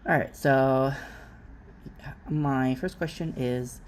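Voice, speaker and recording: Casting: man, informal family interviewer, mid-pitched, hesitant and self-rephrasing, light background noise. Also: steady tone. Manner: halting, rephrases as he goes.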